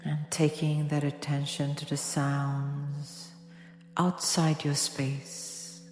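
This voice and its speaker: A woman with a low voice guides a yoga nidra meditation. She speaks softly and without hurry, leaving long pauses between instructions. Her voice sits in a long, echoing reverb over gentle music with singing bowl tones. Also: monotone. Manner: soft, soothing, unhurried instruction with long pauses.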